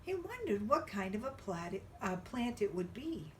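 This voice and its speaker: A woman reading a picture book aloud to young children, expressively and with drawn-out emphasis. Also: mid-range voice, big pitch swings, recorded from a distance, a little hiss or hum. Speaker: children's storybook reader.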